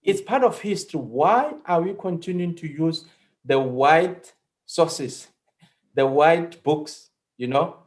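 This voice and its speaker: Earnest South African man, Setswana speaker, halting delivery. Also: fairly high pitch, animated. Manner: halting, earnest, emphatic repetition. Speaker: history professor on a panel. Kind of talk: panel discussion.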